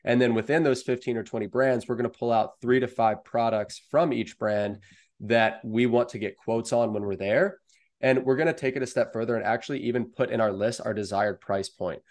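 A man's voice: mid-range voice, fairly even intonation, fairly quick, darkish tone. A young American man giving explanatory advice as an interview guest on a video call. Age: young adult. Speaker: guest, online wholesale seller. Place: indoor room at home, video call.

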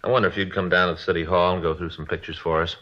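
Fast-talking, low-voiced police detective, acted by a man. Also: little pitch movement, close to an everyday voice. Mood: polite, official. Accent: American. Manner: clipped, low-key, businesslike. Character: practical, polite male police detective partner. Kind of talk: 1950s radio police drama acting. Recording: dull, noisy vintage radio recording.

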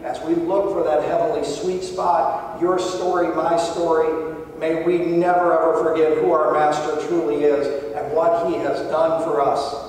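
A man's voice: on the high side, darkish tone, a little hiss or hum. A middle-aged man preaching a sermon from a church pulpit, earnest and emphatic. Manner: earnest, emphatic preaching with rising and falling intensity. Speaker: pastor. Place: large church sanctuary, at a pulpit. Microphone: far camera, pulpit mic. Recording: echoey church hall from far off.

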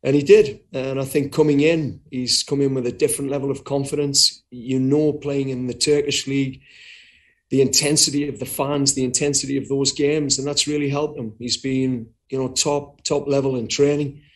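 A man's voice: mid-range voice, level tone, moderate pace. A middle-aged man answering as head coach in a post-match press conference, talking frankly and often repeating words while he thinks, over a video call. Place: indoors before a sponsor backdrop.

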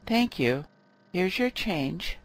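A man's voice: high-pitched, sing-song, slow pace, full character voice, low-quality recording. A man playing a polite airline ticket agent in a scripted lesson dialogue.

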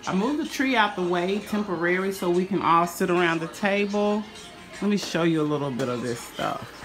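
A woman's voice: lowish voice, moderate pace, music in the background. A woman vlogger casually walking through her holiday dishes at home, filming on a handheld phone. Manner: relaxed, chatty, pointing out dishes one by one. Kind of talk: casual cook-with-me vlog tour. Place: home kitchen and dining room. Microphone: handheld phone camera.

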